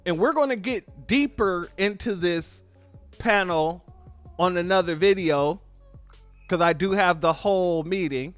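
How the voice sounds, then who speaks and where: Fields: Sex: man. Role: commentary host. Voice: high, dry. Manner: emphatic, repetitive. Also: unhurried, no room sound, soft background music. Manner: emphatic, repetitive, informal, addresses viewers as family. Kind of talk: political commentary on clips.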